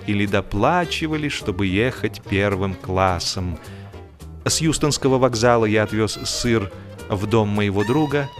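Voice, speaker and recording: A man narrating a humorous story expressively, with theatrical, drawn-out emphasis on words. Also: on the low side. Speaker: audiobook narrator.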